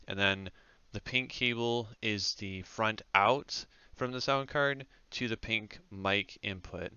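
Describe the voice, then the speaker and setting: Low-voiced man with very crisp, bright delivery. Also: no echo, highs cut off. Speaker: tutorial host. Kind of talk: casual step-by-step tech tutorial.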